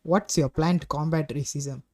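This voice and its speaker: A man's voice: a little high, fairly even intonation, moderate pace, darkish tone. An eighteen-year-old man with a Malaysian English accent, hosting a casual podcast chat, blunt and swearing freely. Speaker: podcast host.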